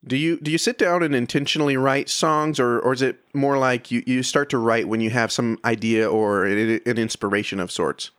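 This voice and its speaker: A man's voice: mid-range voice, moderate pace, hardly any pauses, fairly bright tone, clean tone, no room sound, studio quality. A man hosting an interview show, putting questions to a guest in a conversational style.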